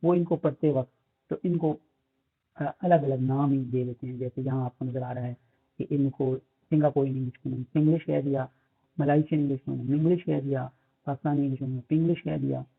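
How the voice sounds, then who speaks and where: A man with a Pakistani accent, teaching phonetics in a lecture. He mixes English terms into his explanations, uses many fillers and reads English lines slowly. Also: mid-range voice, fairly even intonation, vocal fry, slightly rough. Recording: tinny phone-like audio.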